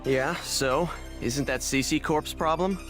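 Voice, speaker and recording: A man's voice: a little high, unhurried, fairly bright tone, faint music behind. A man voicing a brusque young male player-hero in a game, curt, dismissive and sarcastic.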